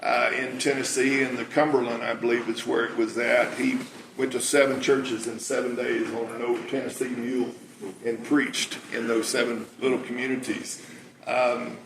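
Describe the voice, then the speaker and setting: A man's voice: medium pitch, level tone, normal speed. A man of about sixty with a preacher's big voice, telling anecdotes in an informal talk. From United States. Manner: anecdotal storytelling. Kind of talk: informal public talk and interview. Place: cafe, indoors. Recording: echoey room with clear background noise.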